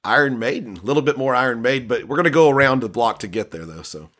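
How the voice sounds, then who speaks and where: A man's voice: mid-range voice, lively intonation, fast, fairly bright tone. A man hosting a casual music chat, rambling and restarting his sentences. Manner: rambling, chatty, restarts a lot. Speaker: podcast host.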